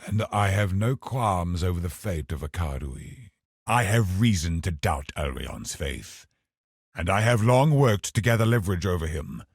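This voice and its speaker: An actor playing a scheming, pious villain in a video game, a cold religious officer who speaks in a measured, haughty, husky voice. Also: male voice, deep voice, lively intonation, no room sound, clean studio sound, exaggerated voice. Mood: scheming, bargaining. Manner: measured, haughty, calculating, formal. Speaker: game boss character. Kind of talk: dramatic video game voice acting.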